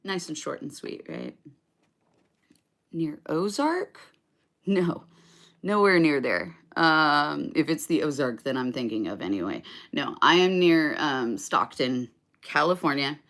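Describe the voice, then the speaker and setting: A woman's voice: sits low, lively intonation, takes it slowly, a bit bright. A woman chatting casually with viewers on a live stream between songs, rambling and hesitant with lots of fillers. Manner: chatty, self-deprecating. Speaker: singer-songwriter live streamer.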